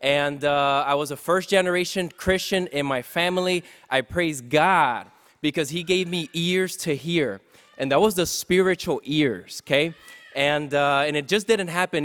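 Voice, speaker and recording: A man's voice: on the high side, normal speed, a bit bright. A man from Puerto Rico giving a casual, upbeat sermon to a congregation, talking conversationally with many fillers and restarts. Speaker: guest preacher. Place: church sanctuary. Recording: long church-hall reverb.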